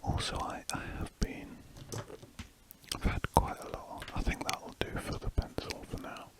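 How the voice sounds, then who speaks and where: An adult whispering casual updates in ASMR style while drawing, rambling and often restarting sentences. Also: moderate pace. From United Kingdom. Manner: soft rambling whisper with frequent restarts and self-corrections. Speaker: ASMR artist.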